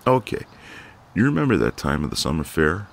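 A man playing a flirty, cocky but gentle ex-marine neighbour in an intimate ASMR roleplay, teasing in a folksy way. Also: sits low, sing-song, brisk, creaky, full character voice.

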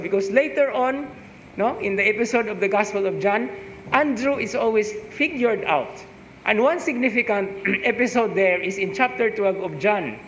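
A middle-aged man with a Cebuano Filipino accent gives a reflective homily, his voice pitched high for a man. He speaks through a face mask into an altar stand mic, often pausing with a questioning "no?". The large church gives a long, roomy reverb under a faint hiss. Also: fairly bright tone. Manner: reflective, mixes English with Cebuano, tag "no?" after points. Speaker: priest. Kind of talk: homily at Mass. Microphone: stand mic in front, wearing a face mask.

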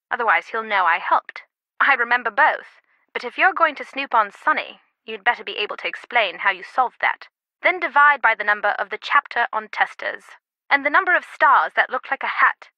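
A woman's voice: a little high, pushed and bright, no room sound, lo-fi sound. A woman playing a worldly, wry antiquities expert in an adventure game, talking in a conversational, confiding way. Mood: matter-of-fact, confiding. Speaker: video game voice actor. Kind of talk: scripted adventure game dialogue.

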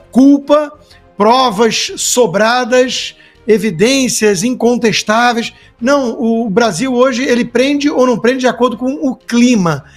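A man with a Brazilian accent giving indignant, sarcastic news commentary. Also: very high-pitched. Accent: Brazilian Portuguese.

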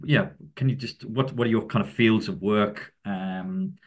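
A man's voice: fairly low pitch, very animated, a little slow. A man hosting a webinar on a video call, asking casual questions with hesitant fillers. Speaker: webinar host.

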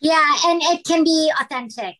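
A woman's voice: sits high, normal speed, fairly bright tone. A woman from the Philippines, a writer and actress, speaking as a guest in a casual interview, hesitant with many fillers and restarts.

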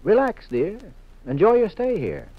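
An actor playing a confident, teasing American husband detective in a 1950s radio mystery, with a wry, assured manner. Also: male voice, sits high, very animated, unhurried, no echo, exaggerated voice. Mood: relaxed, reassuring. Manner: wry, assured, leading questions. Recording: muffled vintage radio recording.

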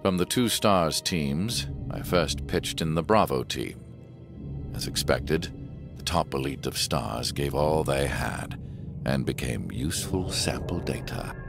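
An actor playing a cold, scheming double agent who narrates his plans in a measured, detached, gravelly voice. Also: very deep voice, expressive, over music, full character voice. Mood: cold, calculating. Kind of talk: scripted narration, report-like.

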